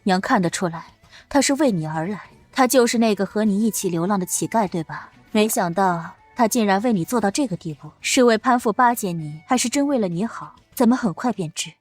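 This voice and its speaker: A woman voicing a shrewd middle-aged empress and protective mother in a Chinese period drama, speaking in a measured, imperious way. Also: mid-range voice, lively intonation, darkish tone, dry recording, faint music behind, natural voice. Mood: knowing, intense.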